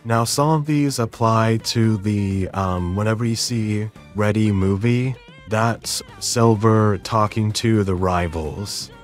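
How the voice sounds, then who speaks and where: Male tutorial host, fairly deep voice, casual and instructional delivery, faint music underneath. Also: takes it slowly. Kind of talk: step-by-step software tutorial narration.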